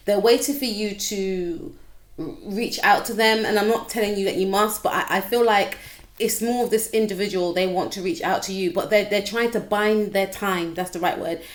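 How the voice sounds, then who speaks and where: Young woman reading tarot cards, kinda croaky, chatty, mid pitch, keeps checking in. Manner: chatty, repetitive, addresses viewer directly. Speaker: tarot reader. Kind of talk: casual tarot reading to camera.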